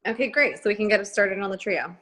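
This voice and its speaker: Chatty woman with a bright edge. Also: medium pitch, lively intonation, quick pace. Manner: chatty, laughs often. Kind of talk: casual video call chat. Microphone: video call.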